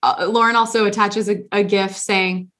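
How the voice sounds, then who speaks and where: A woman hosting a casual podcast chat, talking in an animated, rambling way with many restarts. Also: mid-range voice, moderate pace. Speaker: podcast host.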